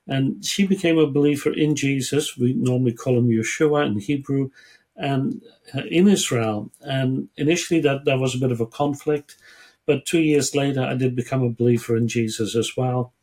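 A man of about sixty from the Netherlands, a guest answering interview questions in a measured, earnest way and pausing to find his words. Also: medium pitch, a little mellow. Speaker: guest, ministry worker and lecturer. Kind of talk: interview answers, personal storytelling.

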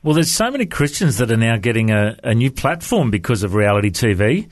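A man's voice: medium pitch, sing-song, brisk. A man hosting a program and interviewing a guest in a friendly, conversational way. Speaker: radio host.

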